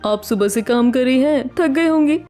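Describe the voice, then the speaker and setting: A woman's voice: high voice, moderate pace, warm tone, soft background music, natural voice. A woman playing a concerned, sympathetic young daughter-in-law in a cartoon family story.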